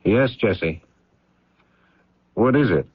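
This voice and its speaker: An actor in a radio drama playing a lonely, haunted man of the house who muses haltingly and questions himself. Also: on the low side, lively intonation, slightly rough, dry recording, heavily acted. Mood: distracted, polite. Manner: halting, musing, self-questioning. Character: brooding, guilt-ridden gentleman of the house, haunted and lonely. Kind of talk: radio drama acting with monologue. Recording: muffled vintage radio drama recording, background music.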